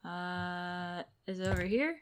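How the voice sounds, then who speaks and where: A woman's voice: on the low side, slow pace, a little hiss or hum. A woman giving casual playthrough commentary, thinking aloud as she plays. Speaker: game streamer.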